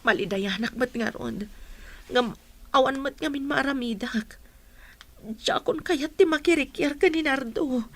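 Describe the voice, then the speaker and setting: A woman acts a troubled village mother, apologetic and sorrowful. Her voice sits fairly high, with an Ilocano accent and the emotive style of radio drama. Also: unhurried, pauses often.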